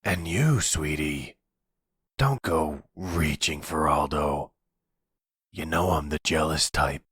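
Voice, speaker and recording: A man acts out a delusional, possessive crime boss in middle age for an ASMR roleplay. His voice is extremely deep and heavily husky, recorded close and dry. He speaks slowly, with condescending tenderness that carries menace. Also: a bit bright, dry recording, full character voice. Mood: jealous, threatening. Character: possessive, delusional middle-aged male mafia boss and husband.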